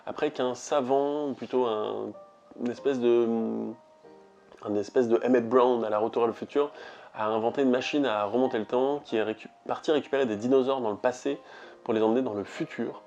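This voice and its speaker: Young French YouTuber, mid-pitched, chatty, halting with fillers, soft music bed underneath. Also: male voice, muffled recording. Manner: chatty, rambling with frequent "euh". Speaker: YouTube host. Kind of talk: casual vlog talk to viewers.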